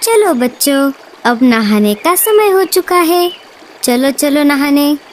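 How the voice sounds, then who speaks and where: A woman's voice: sits high, lively intonation, slow, slight background noise. A woman voicing Bulbul, a strict and greedy mother bird in a cartoon who charges for her pool. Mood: bossy.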